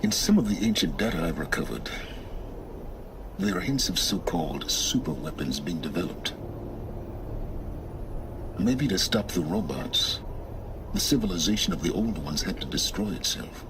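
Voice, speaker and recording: A very low-voiced middle-aged man, a secretive lone wanderer from a video game. He speaks with measured, impatient condescension, as if every explanation is a favour. Noticeable ambient noise sits behind him. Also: expressive. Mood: guarded, explanatory. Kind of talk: video game cutscene dialogue.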